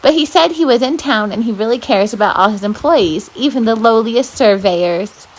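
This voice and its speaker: A woman reading aloud as a volunteer storyteller, with an expressive, dramatic delivery. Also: on the high side, normal speed, low-quality recording. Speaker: volunteer children's book reader.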